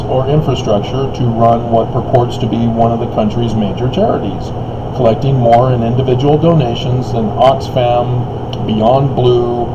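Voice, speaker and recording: A man's voice: mid-range voice, muffled recording, heavy background noise. A man reading an article aloud as a video commentator, breaking into it with derisive, mocking asides.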